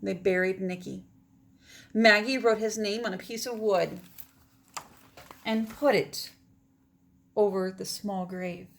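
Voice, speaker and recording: A woman's voice: mid-range voice, fairly even intonation, slow, gravelly, pauses often. A woman teacher reading a children's storybook aloud in a dramatic manner, often dropping toward a whisper.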